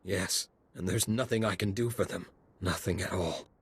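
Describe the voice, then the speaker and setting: A man voicing a defeated, self-deprecating outlaw in a video game, with a husky, rough voice. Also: low voice, moderate pace, full character voice. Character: despairing male outlaw, humbled thief hero.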